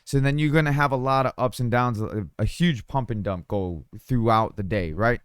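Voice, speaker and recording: A man talks over his screen in a loose, rambling way, his throat a little raw and scratchy. Beneath the roughness his voice stays smooth and resonant. The sound is close and dry, with no room echo, from a desk mic. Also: mid-range voice, normal speed. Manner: rambling, many fillers and restarts. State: slightly hoarse, sore throat. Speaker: crypto mining YouTuber. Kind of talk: casual screen-share commentary. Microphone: studio desk mic.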